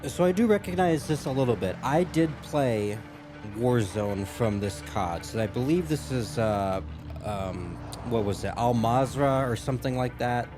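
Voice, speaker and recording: A man with a mid-pitched voice gives casual gaming reaction commentary. He muses out loud and qualifies his thoughts as he goes, with faint music behind him. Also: lively intonation, unhurried. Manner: musing, hedging, thinking aloud.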